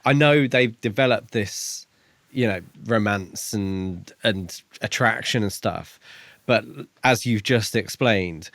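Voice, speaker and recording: A man with a fairly low voice, recorded dry and close. He chats casually as a podcast co-host, feeling his way thoughtfully through each point with pauses. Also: a bit bright, no room sound. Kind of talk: casual book discussion chat.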